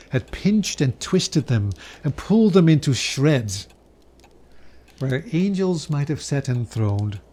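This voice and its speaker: Male narrator for literary fiction, mid-pitched, unhurried, deliberate dramatic phrasing with theatrical pauses. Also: darkish tone. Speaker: narrator, reader.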